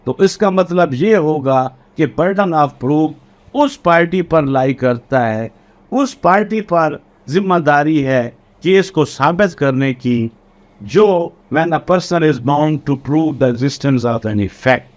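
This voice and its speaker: A man with a Pakistani accent giving an explanatory lecture as a teacher, deliberate, repeating and rephrasing key phrases. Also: fairly high pitch, lively intonation. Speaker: law lecturer. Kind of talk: explanatory exam lecture, code-switching between Urdu and English legal terms.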